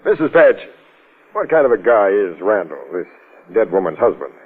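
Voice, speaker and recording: A man's voice: fairly low pitch, very animated, normal speed, slightly rough. Brusque male police captain, authoritative and exasperated, vintage radio crime drama, muffled narrow sound. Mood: brisk, skeptical. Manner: curt, authoritative, questioning. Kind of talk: old-time radio drama acting. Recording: muffled, narrow-band old radio recording.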